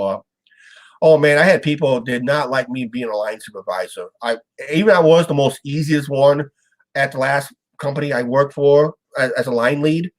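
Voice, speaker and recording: A man from Iowa hosting a casual live-stream chat, with a stuffed-up nose. He rambles and halts, trailing off with "and that". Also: medium pitch. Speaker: show host.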